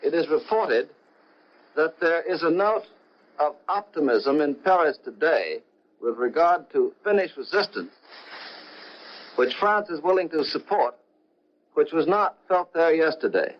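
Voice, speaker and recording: A man reporting overseas news on 1940s radio in a measured, formal way with occasional hesitations. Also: a little high, animated. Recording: dull, lo-fi 1940 shortwave radio broadcast.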